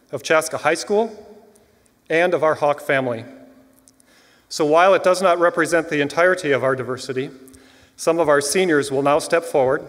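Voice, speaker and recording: A man with a slightly high voice leads a graduation ceremony as a school official. His delivery is formal and measured, carried over a PA in a large reverberant hall. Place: large church auditorium with long reverb.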